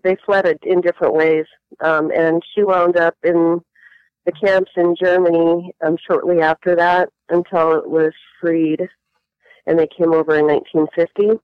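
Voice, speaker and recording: Woman guest, smooth clear tone, thoughtful and halting, dry dull recording. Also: on the low side, little pitch movement, dry recording. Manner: reflective, hesitant with many fillers. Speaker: interview guest. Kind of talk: personal storytelling interview. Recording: dull lo-fi sound.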